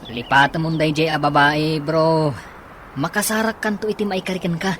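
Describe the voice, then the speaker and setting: A man's voice: on the high side, steady tone, normal speed, a bit bright, a little hiss or hum, lightly acted. An actor playing a supportive young male friend, consoling and upbeat, in Ilocano-accented radio drama style.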